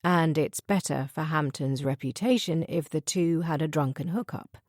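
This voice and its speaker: Give me a woman doing a sharp young investigator, tart and direct. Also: low-pitched, moderate pace, no echo, clean studio sound. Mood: challenging. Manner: pointed, tart questioning.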